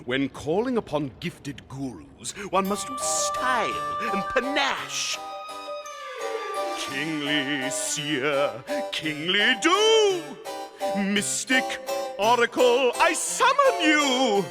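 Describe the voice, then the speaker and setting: A pompous reptile tyrant from a cartoon, pitched high and swooping wildly from note to note. He stretches his florid words slowly, dripping with sarcasm and exasperated grandeur, like a schemer who thinks himself above everyone. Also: huge pitch swings, exaggerated voice. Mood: grandiose. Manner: theatrical, florid, sarcastic, drawn-out delivery. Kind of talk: animated cartoon villain acting. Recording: cartoon soundtrack with loud background music. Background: music.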